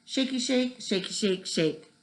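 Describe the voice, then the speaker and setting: A woman's voice: on the high side, lively intonation, measured pace. A woman reading a children's picture book aloud expressively, with a rhythmic delivery that draws out repeated words. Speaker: read-aloud storyteller. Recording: echoey room.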